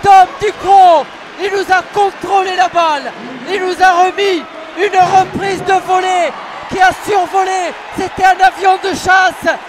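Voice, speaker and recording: Male football radio commentator, very high voice, exuberant, over loud stadium crowd. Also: steady tone, measured pace. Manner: exuberant, passionate, often shouting. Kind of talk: excited live match commentary. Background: noisy football stadium crowd.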